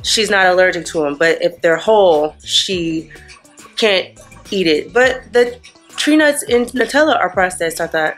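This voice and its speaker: A woman's voice: on the low side, animated, a little slow, fairly bright tone, faint music behind. A woman in her thirties chatting casually to camera in a hotel room, teasing and telling stories with quoted asides. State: sniffly. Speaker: vlogger and mother. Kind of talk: casual vlog chat to camera.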